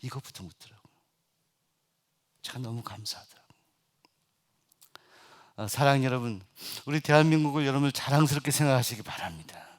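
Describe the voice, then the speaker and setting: Korean pastor preaching with rising fervor. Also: male voice, mid-range voice, a little fast, long pauses. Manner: many hesitation fillers, rising to loud emphatic preaching. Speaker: senior pastor. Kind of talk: Sunday sermon.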